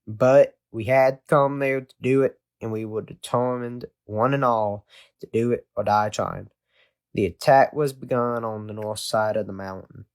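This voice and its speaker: A man's voice: mid-range voice, steady tone, unhurried. A man reading a scripted first-hand historical account aloud.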